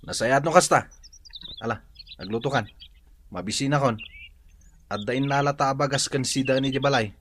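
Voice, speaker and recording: A man's voice: mid-range voice, moderate pace, long pauses, fairly bright tone, a little hiss or hum, lightly acted. A man playing a blunt, laid-back young rural boyfriend in a drama. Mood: easygoing.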